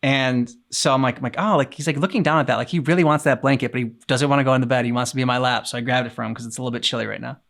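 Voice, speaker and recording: A man's voice: mid-range voice, steady tone, very fast, creaky, few pauses, a bit bright. A young adult man co-hosting a reaction show, talking in a loose, rambling way with many fillers. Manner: rambling, lots of fillers, laid-back. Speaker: reaction channel co-host. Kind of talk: casual reaction chat.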